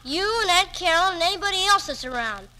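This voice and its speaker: Young boy, brash and slangy, bright high voice, old radio drama. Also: expressive, fast talker, full character voice. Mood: defiant, bossy. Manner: slangy, blunt, bossy. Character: brash, cocky young boy, devoted son. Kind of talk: 1940s radio drama acting. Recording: noisy 1940s radio broadcast recording.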